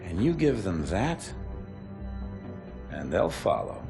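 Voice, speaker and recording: A man's voice: low voice, wildly swooping pitch, slow pace, gravelly, over music, exaggerated voice. An actor with an American accent playing a cynical, authoritarian man of power in a video game, lecturing in an emphatic, folksy way. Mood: coldly assured. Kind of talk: dramatic video game monologue.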